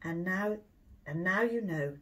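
A middle-aged woman with a British accent reading a children's storybook aloud, expressively and clearly for young listeners. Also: on the low side, animated, measured pace, fairly bright tone. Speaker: speech and language therapist reading a story.

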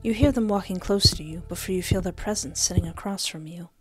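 Expressive woman streamer over game music. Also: lowish voice, fairly quick. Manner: playful, expressive. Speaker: gaming streamer. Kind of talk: let's play, reading game text aloud. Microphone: close desk microphone with headset.

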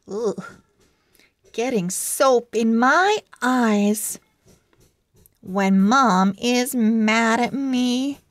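A woman's voice: on the high side, no room sound. A woman reading as an enthusiastic young girl owl from a storybook diary, with exaggerated expressiveness. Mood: displeased. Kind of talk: children's storybook read-aloud.

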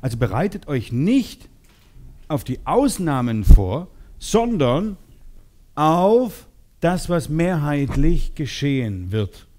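Mellow-voiced man leading a sales seminar. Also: a little high, slow. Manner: animated, teasing, role-plays short dialogues. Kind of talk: lively seminar talk to participants. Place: seminar room with participants. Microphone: headset.

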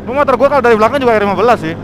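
A man chatting casually and excitably in slangy style as he vlogs while riding outdoors. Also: very high-pitched, rapid-fire, a little hiss or hum. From Indonesia. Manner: excitable, exclamatory, interjection-filled. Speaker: motovlogger. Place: outdoors, riding a motorbike on a forest mountain road.

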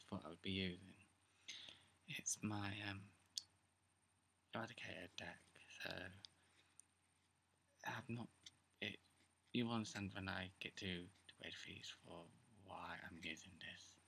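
A young man with a sore, croaky throat, talking quietly in a half-whisper at home while he goes through his card game deck for a casual video. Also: on the low side, a little hiss or hum. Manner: hushed, half-whispering late at night. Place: indoors, seated on a bed or floor at home. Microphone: camera close in front, pointed at his hands.

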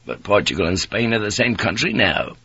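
A defiant, worn-out seafaring pilot, played by a male audiobook narrator. His voice is low and husky, guarded and probing, with a taunting edge. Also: expressive, a little fast, pushed and bright, no echo, exaggerated voice. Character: weakened but defiant seafaring ship's pilot, a man.

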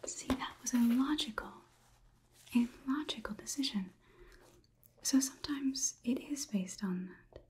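A young woman playing a polite, curious, clinical robot overlord in a whispered ASMR roleplay, with measured, formal delivery. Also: a little high, lively intonation, darkish tone. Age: young adult. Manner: slow, measured, deliberate, formal wording. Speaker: ASMR performer.

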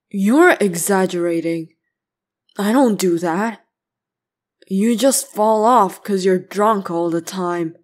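A woman's voice: mid-range voice, slow pace, long pauses. A woman voicing a brooding, clingy, possessive young male demon slayer in a boyfriend ASMR roleplay, grumbling and hesitant between sighs. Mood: sleepy grumbling.

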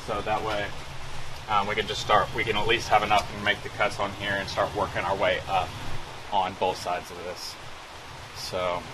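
Low, flat-voiced man outdoors. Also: normal speed. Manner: relaxed, filler-heavy, speaks to viewers. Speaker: vlog host. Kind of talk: casual on-site build explanation. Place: outdoors at a forest cabin build site. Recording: outdoor recording with clear background noise.

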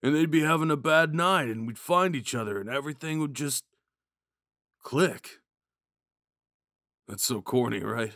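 Man acting a slow-talking drunk himbo jock. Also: mid-range voice, fairly bright tone, no room sound, studio quality. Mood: wistful, romantic. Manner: drunken, halting, goofy, rambling. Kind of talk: ASMR roleplay acting, speaking to the listener.

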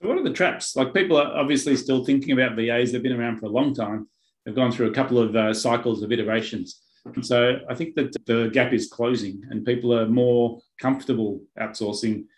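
A man's voice: mid-range voice, a little fast. Host type, man in his fifties, plain mid voice, keeps questions short and affirming. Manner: brief encouraging prompts. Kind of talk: interview host. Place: home office with bookshelves. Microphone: webcam on video call.

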